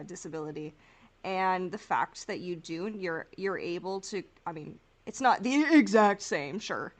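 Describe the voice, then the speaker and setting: A woman co-hosting a podcast in casual reaction chat, talking in an effusive, emotive way. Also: mid-range voice. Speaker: podcast co-host.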